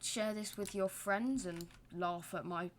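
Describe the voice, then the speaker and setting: Slow, rambling young woman gamer. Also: mid-range voice, slight background noise. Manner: rambling, self-deprecating, joking asides. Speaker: gaming channel host. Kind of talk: casual gameplay commentary.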